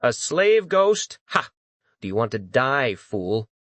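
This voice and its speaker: A man's voice: medium pitch, very animated, slow, fairly bright tone, no room sound. A man voicing an irritable female spirit in a dramatized audiobook, snappish and contemptuous. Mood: snarling.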